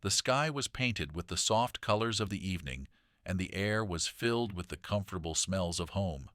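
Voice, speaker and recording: A man narrating a children's bedtime story in a gentle, deliberate read-aloud manner. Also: sits low, animated, no room sound, clean studio sound.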